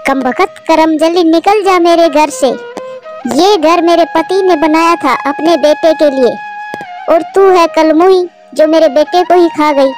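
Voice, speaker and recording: A woman voicing a cruel, scolding older mother-in-law bird in a moral-story cartoon. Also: very high voice. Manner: harsh, scolding, commanding.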